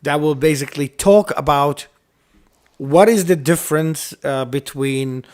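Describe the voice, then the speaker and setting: This man speaks English with an Arabic accent in a fairly high voice. He talks haltingly, full of uhs, likes and restarts, as he co-hosts a casual chat. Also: a little mellow. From Iraq. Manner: halting, many fillers and restarts. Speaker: podcast co-host. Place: lives in the United States.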